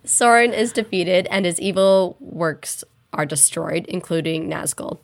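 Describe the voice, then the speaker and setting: A young woman co-hosting a casual book podcast, her voice slightly hoarse from a cold. She speaks in an easygoing way with dry little asides, at a lowish pitch. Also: unhurried. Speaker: podcast co-host. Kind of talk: casual chat and book synopsis.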